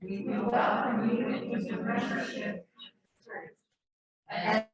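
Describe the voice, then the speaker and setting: A woman giving a slow, deliberate responsive reading during a church service. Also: a little high, fairly even intonation, lo-fi sound. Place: church sanctuary. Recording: echoey hall, distant mic, congregation noise.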